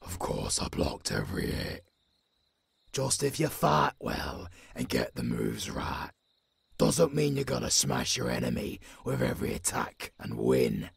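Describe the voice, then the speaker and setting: Very deep, gravelly old British werewolf. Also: male voice, huge pitch swings, no echo, heavily acted. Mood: gruff approval. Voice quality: very gravelly, raspy, growling. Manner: slow, deliberate, commanding. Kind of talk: audio roleplay acting.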